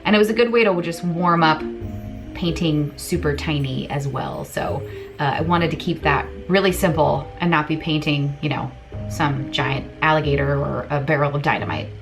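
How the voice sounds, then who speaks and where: A woman in her thirties chats through a craft tutorial in a relaxed, self-deprecating way. Her voice sits on the lower side. She talks from an indoor room at home, with faint music playing behind her. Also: moderate pace, vocal fry. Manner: chatty, self-deprecating, jokey. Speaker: craft vlogger. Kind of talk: casual tutorial chat.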